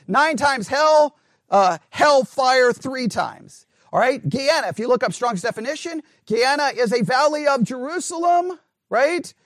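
A man with a very high speaking voice leads a Bible study in a casual, emphatic way. He keeps asking his class questions and often restarts mid-sentence. The recording is dry and close, with no room sound. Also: a bit bright, dry recording. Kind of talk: conversational Bible study lecture.

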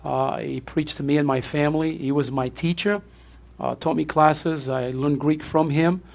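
A man's voice: on the high side, steady tone, normal speed, creaky, a little mellow. A man with a Portuguese accent, a pastor welcoming his church, effusive and repetitive, laughing often.

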